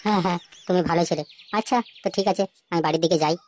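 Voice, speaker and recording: A man's voice: high voice, steady tone, rapid-fire, noisy background, natural voice. A man voicing a short-tempered, scolding village father with a crude manner in a comic cartoon. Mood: curious, surprised.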